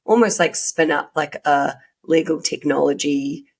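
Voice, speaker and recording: Kiwi woman w new zealand accent, lowish voice, being interviewed, casual and a bit hedgy how she talks. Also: fairly even intonation, measured pace, creaky. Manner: informal, hedging with "sort of" and "like". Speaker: podcast guest. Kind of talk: conversational career interview.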